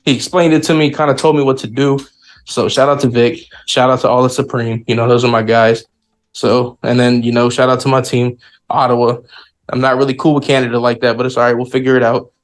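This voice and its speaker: Man from the US, quick pace, cocky, joking, casual podcast guest chat. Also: mid-range voice, little pitch movement. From United States. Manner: cocky, joking, repeats himself. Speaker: podcast guest, sim league player.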